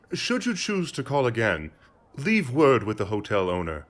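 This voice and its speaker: An actor playing a mysterious aristocratic count, courteous and aloof, with formal speech, in an audio drama. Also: male voice, medium pitch, wildly swooping pitch, fairly bright tone, heavily acted. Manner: formal, measured wording.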